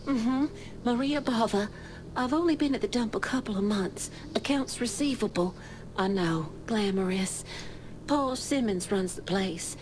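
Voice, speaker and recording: An actress playing a frightened, reluctant clerk turned informant in a video game cutscene, hesitant and anxious. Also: female voice, a little high, measured pace, natural voice. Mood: nervous, confiding. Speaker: informant character. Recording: clear background noise.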